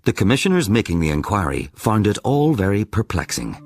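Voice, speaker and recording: Guy narrating history doc, low-ish voice, lots of rise and fall in it. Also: big pitch swings, brisk. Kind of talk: scripted documentary narration.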